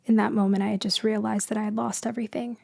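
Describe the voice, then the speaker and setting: Soft, low-key woman survivor with creaky voice, acted. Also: flat delivery, dry recording. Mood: grieving. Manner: halting, hushed, dramatic pauses. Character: shaken, grieving woman survivor recounting a shipwreck. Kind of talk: intimate storytelling ASMR roleplay.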